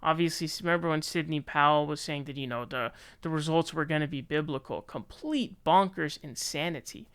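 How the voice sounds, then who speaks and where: A man's voice: fairly high pitch, a little fast, few pauses, a bit bright, clear, resonant tone, dry recording. An American man giving casual, opinionated news commentary in colloquial language, sometimes reading aloud.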